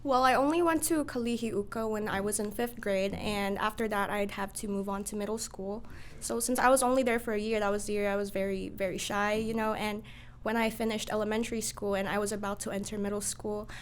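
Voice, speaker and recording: A teenage girl from the Philippines, whose first language is not English, answering interview questions in an earnest, soft-spoken way. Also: medium pitch, fairly even intonation, a little fast, slight background noise. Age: teens. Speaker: guest, student body vice president. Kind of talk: casual interview.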